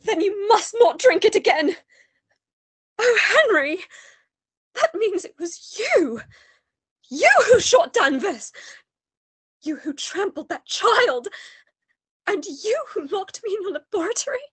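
A woman plays an earnest gentlewoman in a radio drama. Her voice is very high and sweeps up and down with theatrical, distraught feeling, recorded close and dry. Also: big pitch swings, takes it slowly, pauses often, no room sound, full character voice. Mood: alarmed pleading. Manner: emotive, questioning, theatrical. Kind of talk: radio play acting.

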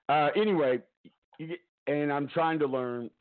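A man hosting a casual talk stream from home, loud-voiced, rambling and chatty, often laughing, talking into a headset. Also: a little high, phone-quality sound. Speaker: talk show host.

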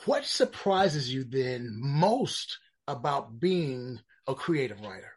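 A radio interviewer asks short, measured questions. The voice is husky and slightly breathy, with a lively, rising and falling pitch.

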